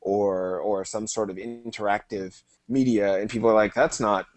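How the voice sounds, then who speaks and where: A man's voice: on the low side, fairly even intonation, normal speed, darkish tone, no room sound. Joking male podcast host, dry sound. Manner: joking, teasing. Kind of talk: casual interview chat.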